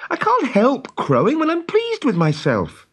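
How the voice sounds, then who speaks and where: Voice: medium pitch, huge pitch swings, moderate pace, husky, pitched above the performer's own voice. A storyteller voicing a cocky, proud, impulsive young boy with a boastful, emphatic manner. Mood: coaxing.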